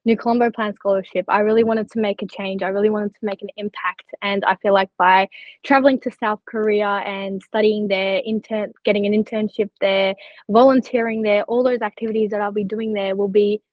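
A woman's voice: medium pitch, fairly even intonation, fairly quick, no room sound. A young woman who grew up partly in Turkey, talking earnestly and chattily as a podcast guest, with lots of likes and you-knows. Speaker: scholarship recipient guest. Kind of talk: candid podcast interview. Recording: slightly dull lo-fi audio.

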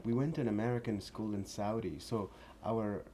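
A man in his forties from Afghanistan, speaking as an interview guest in an earnest, halting way with many restarts. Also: on the low side, steady tone, mellow, slight background noise. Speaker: shop owner, guest. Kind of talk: interview conversation.

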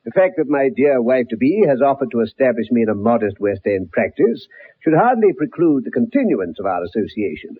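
Earnest British gentleman, animated, husky voice. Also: medium pitch, brisk. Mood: mildly indignant. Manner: polite, formal, earnest. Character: earnest, genial, respectable British gentleman, mildly indignant. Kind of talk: radio drama acting. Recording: dull lo-fi old radio recording.

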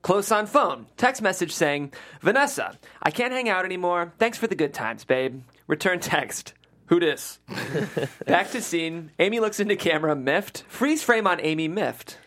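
A woman reading a comedy script's stage directions aloud at a table read, with expressive, emphatic delivery. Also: lowish voice, a little slow.

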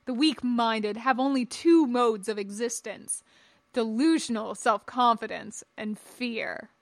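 A woman's voice: on the high side, takes it slowly, no room sound. A woman voicing an imperious, scornful male Jedi master in a stern, formal, lecturing manner, in an audiobook-style story. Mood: haughty, lecturing. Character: tall imperious old Jedi master, scornful, pompous man.

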